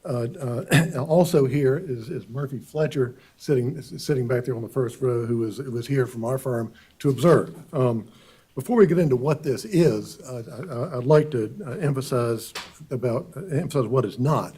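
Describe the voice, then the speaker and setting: A man presenting for an applicant before a county board, hoarse from a cold. His voice is mellow and husky, and he hesitates often, doubling his words. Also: medium pitch. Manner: halting, many repeated words and uhs. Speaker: attorney for applicant. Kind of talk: formal hearing presentation. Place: county board meeting room.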